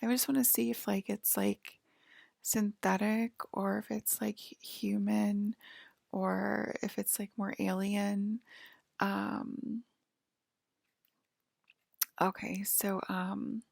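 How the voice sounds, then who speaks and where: Woman doing an alien lady asmr exam, super creaky breathy whisper, slow and halting, soft clinical vibe, really dry close sound. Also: medium pitch, little pitch movement, no echo, heavily acted. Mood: calm, curious. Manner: halting, hesitant, gently official. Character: soft, clinical female alien abductor examining a human.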